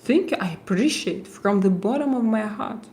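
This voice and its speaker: A woman with a heavy Russian accent hosting a casual livestream, chatting with viewers and often pausing with hesitant fillers as she searches for words. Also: mid-range voice, expressive, darkish tone. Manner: hesitant, halting, with frequent "uh" fillers and restarts. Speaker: livestream host. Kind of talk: casual livestream chat answering viewer comments.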